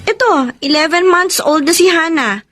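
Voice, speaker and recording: An actress playing a blunt, protective younger sister in a radio drama, chiding and expressive. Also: female voice, very high-pitched, moderate pace. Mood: exasperated, demanding. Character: outspoken, protective younger sister of a village family.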